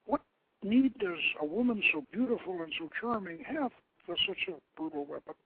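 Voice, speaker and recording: A man's voice: high-pitched, animated, moderate pace, narrow, tinny audio, a little hiss or hum, pitched above the performer's own voice. A man voicing a proud, clever young hunter prince in a storybook legend, speaking in a formal, declamatory and defiant way.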